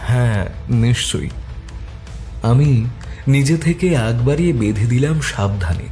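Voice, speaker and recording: A man tells a detective story in the first person, reading with lively expression. His voice is mellow and mid-pitched. Soft music plays quietly beneath him. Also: a little slow. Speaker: narrator and detective's companion. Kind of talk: scripted story narration.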